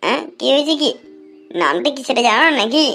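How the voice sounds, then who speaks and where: A woman's voice: high voice, big pitch swings, quick pace, creaky, over music, heavily acted. A woman voicing a blunt, foul-mouthed young village clothes seller in a cartoon, given to grumbling and scolding. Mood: irritated scolding. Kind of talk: cartoon dialogue.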